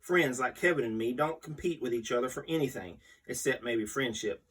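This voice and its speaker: A man's voice: mid-range voice, fairly quick, fairly bright tone, breathy edge. A man reading a magazine article aloud as the host, in a scripted storytelling read. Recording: distant microphone.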